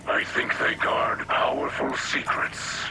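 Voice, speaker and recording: An actor playing a solemn, wise alien leader in a video game, speaking formally and deliberately in a breathy half-whisper. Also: male voice, very noisy, natural voice. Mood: solemn, grave. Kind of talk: dramatic video game dialogue.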